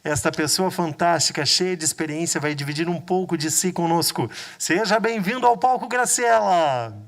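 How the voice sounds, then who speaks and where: A man's voice: a little high, moderate pace. A man hosting an event, formally introducing the next guest speaker. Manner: formal, announcing. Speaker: event host. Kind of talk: scripted introduction.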